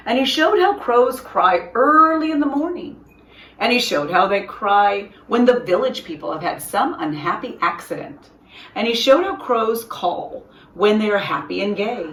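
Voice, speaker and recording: Echoey, expressive woman reading a storybook. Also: on the high side, unhurried. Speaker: storyteller reading a children's picture book. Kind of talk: expressive read-aloud of a children's story. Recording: roomy echo, distant from microphone.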